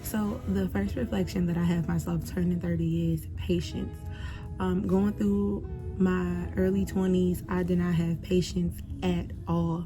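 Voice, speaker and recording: Chatty woman with a gravelly low voice. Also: flat delivery, unhurried, music in the background, rough recording. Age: 20s. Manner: chatty, direct address to viewers. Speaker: vlogger. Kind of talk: casual reflective vlog talk.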